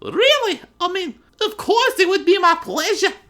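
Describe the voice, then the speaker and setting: Man doing a squeaky falsetto prissy young woman. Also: sing-song, normal speed, bright, forceful tone, full character voice. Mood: coy, sugary.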